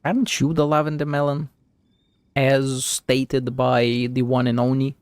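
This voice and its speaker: A man's voice: mid-range voice, level tone, measured pace, clear, resonant tone, dry recording. A man streaming a game with casual, slangy, laid-back live commentary.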